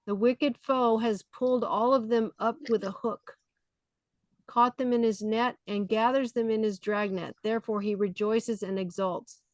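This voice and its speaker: A woman's voice: fairly high pitch, steady tone, measured pace, dry recording. A woman leading a study group, reading scripture aloud and explaining it conversationally. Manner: conversational, with fillers between readings.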